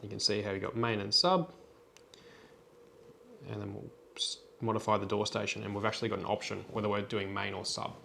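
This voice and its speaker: A man presenting an unscripted software tutorial in a plain, step-by-step instructional way. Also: on the low side, brisk, vocal fry, slight background noise.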